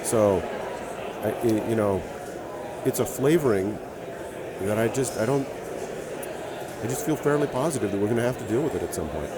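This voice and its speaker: A man's voice: lowish voice, animated, moderate pace, pauses often, heavy background noise. A man with a Hawaiian accent, a brewer and author telling stories as a guest in a casual interview.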